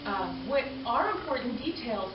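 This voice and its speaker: A woman's voice: on the high side, expressive, moderate pace. A woman in her forties who grew up in Canada, speaking earnestly and from the heart to a gathering, repeating phrases for emphasis. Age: 40s. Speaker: panel speaker. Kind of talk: personal talk to a gathering. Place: community arts event hall. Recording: echoey room, distant mic, dull sound, background noise.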